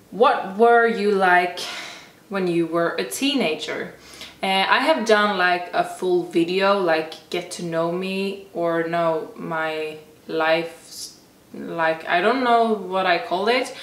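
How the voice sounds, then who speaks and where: A woman's voice: fairly low pitch, roomy sound, distant mic. A young woman from Sweden speaking English with a Swedish accent, casually answering viewer questions in a chatty way with lots of "like". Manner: chatty, frequent "like" fillers, self-restarts. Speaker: fitness vlogger. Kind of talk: casual Q&A talk.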